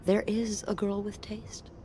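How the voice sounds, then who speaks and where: A woman's voice: on the low side, a little mellow, slight background noise. An actress voicing a wry, playful, self-assured young woman with a teasing manner in a naturalistic video game scene.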